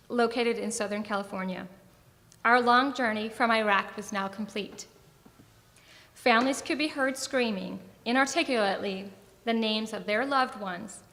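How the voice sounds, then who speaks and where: A young American woman, a veteran, reading her personal essay on stage in a measured, solemn way. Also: fairly high pitch, pushed and bright. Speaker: military veteran performer. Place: theatre stage in an arts center. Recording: reverberant hall.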